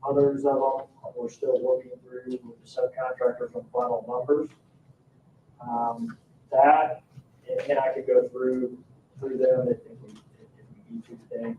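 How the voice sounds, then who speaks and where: An American man giving an informal budget presentation at a public meeting in a halting, hesitant way, as a construction project manager. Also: mid-range voice. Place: indoor town council meeting room. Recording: roomy, distant, dull meeting-room audio with faint noise.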